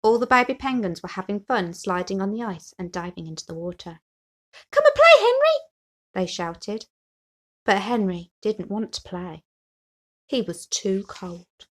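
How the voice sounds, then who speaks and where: A woman reading a children's picture book aloud as an expressive storyteller. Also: medium pitch, normal speed. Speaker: story reader.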